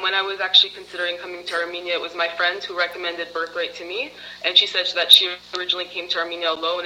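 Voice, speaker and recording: A woman's voice: on the low side, bright, forceful tone, a little hiss or hum, low-quality recording. A woman as a program participant, answering interview questions in a chatty way, with many fillers and laughs.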